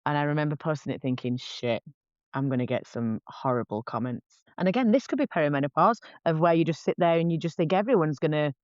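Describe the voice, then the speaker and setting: A woman's voice: low-pitched, expressive, fast, darkish tone, no echo. A woman, talking candidly as a podcast guest, chatty and frank with lots of restarts. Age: 40s. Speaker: podcast guest, face painter. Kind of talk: candid casual conversation. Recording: dull, dry lo-fi podcast audio.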